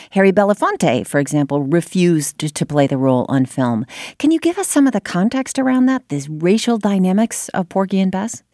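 Lively woman interviewer, lowish voice. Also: dry recording, clean studio sound. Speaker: interviewer, host. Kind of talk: conversational interview questioning.

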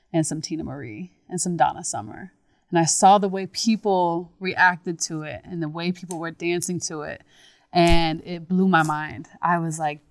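A woman talking casually as an interviewed singer, expressive and reflective, with emphatic moments. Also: on the low side, clear, resonant tone, dry recording, clean studio sound.

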